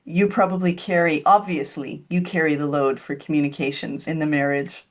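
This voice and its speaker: A woman's voice: sits low, steady tone, fairly quick. A woman hosting a podcast interview, friendly and chatty, asking long questions. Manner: friendly, lightly laughing, asks long questions. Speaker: podcast host.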